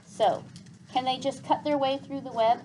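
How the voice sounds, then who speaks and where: A woman's voice: high voice, fairly even intonation, moderate pace, warm tone, slight background noise, voice pushed up, lightly acted. A woman voicing an earnest boy in a storybook, a caring, exasperated big brother who talks casually and firmly. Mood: questioning. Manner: casual, firm, plainspoken.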